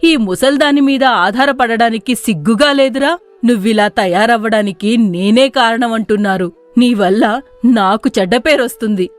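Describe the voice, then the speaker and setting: A woman's voice: fairly high pitch, normal speed, lightly acted. A woman voicing a scolding, reproachful old grandmother who is fed up with her idle grandson in a moral story. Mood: scolding, weary. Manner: nagging, reproachful. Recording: music playing behind the voice.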